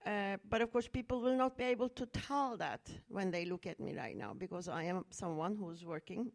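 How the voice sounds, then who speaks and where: A woman's voice: medium pitch, normal speed. A middle-aged woman from Turkey telling a personal story in a public talk, earnest and reflective, with fillers and restarts. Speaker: speaker at a talk.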